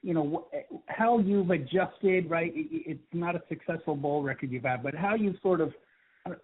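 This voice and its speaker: A man, a sports reporter, asking a coach long, halting questions with many restarts on a conference call. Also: fairly high pitch, slight background noise. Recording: tinny phone line.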